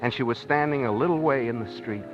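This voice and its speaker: Henpecked working stiff played by a man, medium-pitched, plainspoken and wistful; dull old radio recording with music. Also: quick pace, natural voice. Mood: wistful, musing. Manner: plainspoken, hesitant, pleading. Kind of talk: 1950s radio drama acting, first-person narration.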